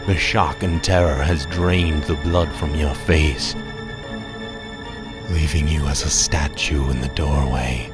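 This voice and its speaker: Casting: male horror narrator, extremely low voice, ominous and dramatic, under loud scary music. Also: fairly even intonation. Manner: dramatic, ominous, measured phrasing. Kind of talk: scripted second-person horror narration, Halloween radio drama.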